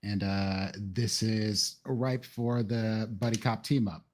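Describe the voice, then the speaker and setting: Man improvising in a tabletop game, slightly low. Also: fairly even intonation, takes it slowly, a little mellow. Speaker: tabletop roleplay player. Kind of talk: improvised in-character game talk.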